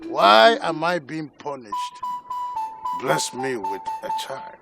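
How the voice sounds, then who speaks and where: A man's voice: on the high side, very animated, takes it slowly, gravelly, over loud music, full character voice. A man playing a desperate childless villager who pleads with the gods, then turns tearful and frantic. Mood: pleading prayer. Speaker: childless husband. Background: loud film score.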